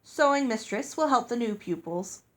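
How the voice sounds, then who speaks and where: A woman's voice: a little high, lively intonation, normal speed, natural voice. A woman voicing an effusive, proper older headmistress of a finishing school, genteel and formal, in a storybook. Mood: brisk. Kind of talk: storybook character voice.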